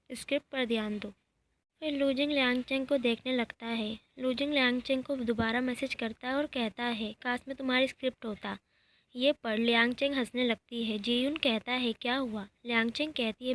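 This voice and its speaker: औरत की ऊँची आवाज़ में कहानी सुनाती हुई. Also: female voice, on the high side. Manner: reads every character's lines in her own voice, even and plain. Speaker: narrator recapping a drama episode. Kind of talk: storytelling recap narration.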